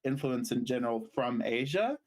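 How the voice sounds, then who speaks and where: A man from Zimbabwe co-hosting a podcast, chatting casually and telling stories in a halting, rambling way. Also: medium pitch, animated. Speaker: podcast co-host. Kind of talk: casual chat and storytelling.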